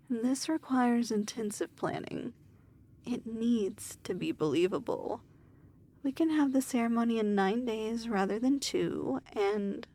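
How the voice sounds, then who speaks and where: A woman playing a weary, imperious demon lord in an ASMR fantasy roleplay, speaking formally and softly with measured diction. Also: a little high, full character voice. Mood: wary.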